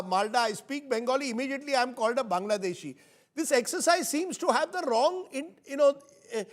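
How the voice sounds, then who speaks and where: Male TV anchor, high voice, pointed and pressing moderation of a panel. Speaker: news anchor.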